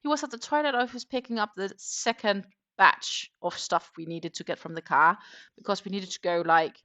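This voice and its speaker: A woman's voice: medium pitch, brisk, sharp tone. A middle-aged woman hosting a crochet livestream, talking in a casual, rambling way with frequent ums as she tells about her weekend. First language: not German. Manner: chatty, rambling, frequent um fillers. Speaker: crochet channel host.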